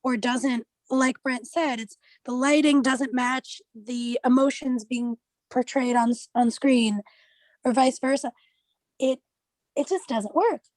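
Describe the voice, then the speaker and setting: A woman's voice: high voice, steady tone, slow pace, darkish tone, no echo, low-quality recording. A woman in a casual group discussion, speaking in a direct, insistent way. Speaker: media studies student.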